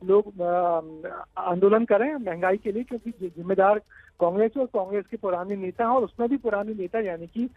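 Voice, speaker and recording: A male correspondent comes through a narrow phone-like connection with a dry, close sound. His voice is high-pitched, and he explains things off the cuff in a live news report, hesitating a little. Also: normal speed, dry recording. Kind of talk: live unscripted report to anchor.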